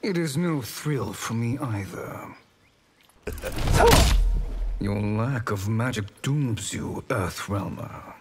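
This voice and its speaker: A man's voice: mid-range voice, takes it slowly, long pauses, a little hiss or hum, natural voice. An actor playing a villainous male sorcerer in a video game, smug, formal and condescending. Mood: smug, threatening. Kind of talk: video game character acting.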